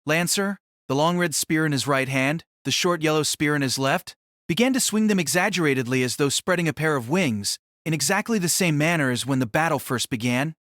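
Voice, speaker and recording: A man narrating a fantasy audio drama in a steady, even storytelling manner. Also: a little high, fairly quick, dry recording, clean studio sound.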